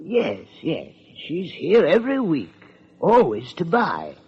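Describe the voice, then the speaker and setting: An actor playing a worn-out, fawning antique dealer, halting and stammering, in an old radio drama style. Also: male voice, a little high, wildly swooping pitch, exaggerated voice. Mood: hesitant, obliging. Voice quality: husky, raspy. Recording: muffled old radio recording.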